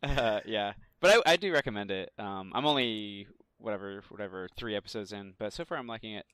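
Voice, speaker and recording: A man's voice: lowish voice, a little fast, fairly bright tone, smooth, no echo. A man co-hosting a podcast, chatting casually and conversationally. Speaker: podcast host. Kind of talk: casual chat.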